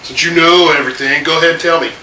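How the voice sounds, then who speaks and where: A man's voice: a little high, steady tone, racing, pushed and bright, far from the mic. Man, 30s, head cold, loose chatty host, echoey room, mic set back, AC hum. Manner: chatty, rambling with fillers. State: has a cold, voice a little off. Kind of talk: casual home video chat.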